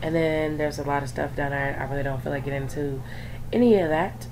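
A young woman with a voice worn hoarse from sickness, sitting lower in pitch than most. She rambles casually, full of fillers and side remarks. A faint hum of background noise sits under her home recording. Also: fast talker. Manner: chatty and rambling, many fillers and asides. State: hoarse from illness. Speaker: gaming YouTuber. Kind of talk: casual screen-recorded vlog chat.

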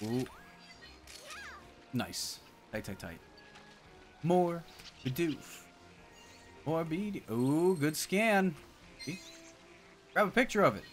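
A man's voice: fairly high pitch, very animated, slow, long pauses. A man in his thirties giving casual, playful gameplay commentary as a streamer, full of exclamations and silly nicknames. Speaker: game streamer, co-host. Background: game music.

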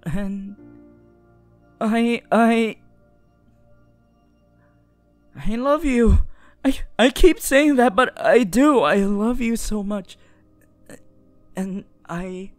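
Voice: medium pitch, slow, pauses often, dry recording, faint music behind, lightly acted. A performer voicing a shy, earnest, lovestruck teenage boy hero in an anime roleplay, stammering tenderly. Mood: flustered, loving. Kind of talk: anime fan audio roleplay addressed to the listener.

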